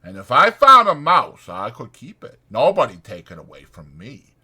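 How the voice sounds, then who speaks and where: A man's voice: medium pitch, huge pitch swings, moderate pace, sharp tone, breathy edge, voice pushed up, full character voice. A man voicing a timid, childlike, simple-minded man who pleads naively in simple rural American speech. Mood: wounded.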